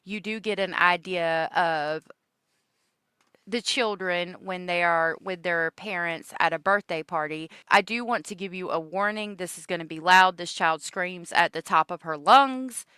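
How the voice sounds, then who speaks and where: A woman's voice: lowish voice, unhurried, bright, forceful tone, clean tone, no room sound. A woman hosting a true-crime video, giving informal commentary on a case.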